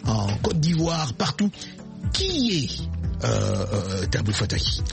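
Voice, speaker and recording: A man hosting a radio interview, prompting his guest with frequent murmured agreement and lively rising questions. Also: medium pitch, takes it slowly, creaky. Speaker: radio host. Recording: radio broadcast with faint music.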